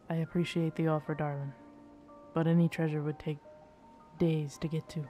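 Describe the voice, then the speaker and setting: A performer acts out a cursed pirate captain in an intimate audio drama. The delivery is unhurried and halting, with rough seafarer phrasing. The captain is guarded and weary yet tender, at a middling pitch, with faint music behind. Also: warm tone, close to an everyday voice. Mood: regretful, firm. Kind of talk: ASMR roleplay audio drama, intimate second-person scene.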